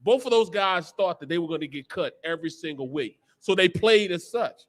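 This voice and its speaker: A man's voice: high-pitched, expressive, brisk. A middle-aged American man, a former football player, telling stories on a sports panel in an emphatic, repetitive, slangy way. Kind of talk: animated locker-room storytelling on a sports panel.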